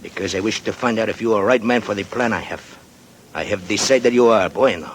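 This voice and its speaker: Actor playing a wary Mexican treasure keeper. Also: male voice, on the low side, brisk, a little hiss or hum, lightly acted. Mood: conspiratorial. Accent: Mexican Spanish (acted). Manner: broken English with Spanish words, wary and conspiratorial. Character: secretive Mexican ex-rebel man guarding a gold secret. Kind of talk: 1940s radio drama acting.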